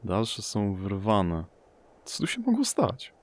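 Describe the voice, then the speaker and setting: Man playing a low-voiced, grim lone searcher. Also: huge pitch swings, takes it slowly, exaggerated voice. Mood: puzzled, somber. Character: weary male searcher exploring storm-ravaged homes, grim, solitary. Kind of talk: Polish audio drama narration and acting.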